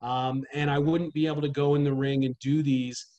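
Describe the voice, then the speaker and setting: A man's voice: a little high, dull audio. A man in his thirties, a wrestling trainer, talking earnestly and steadily in a casual interview over a video call from a small room at home. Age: 30s. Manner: measured, earnest, steady explaining. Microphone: webcam on video call.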